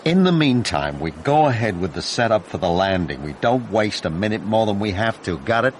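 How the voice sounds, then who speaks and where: An actor playing a brusque, callous, impatient film director in a radio mystery drama. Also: male voice, on the low side, sing-song, brisk, a little hiss or hum. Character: domineering, callous American male film director.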